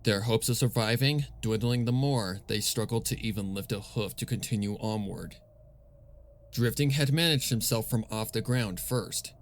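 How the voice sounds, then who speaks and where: A man narrating scripted fan fiction as a storyteller, measured and solemn in his delivery. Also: mid-range voice, slight background noise.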